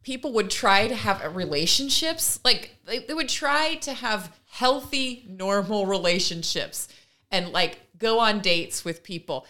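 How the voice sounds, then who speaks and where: A woman co-hosting a podcast, retelling stories casually and in an animated way, slipping into quotes as she goes. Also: mid-range voice, a little slow. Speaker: podcast co-host. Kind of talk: casual conversational storytelling.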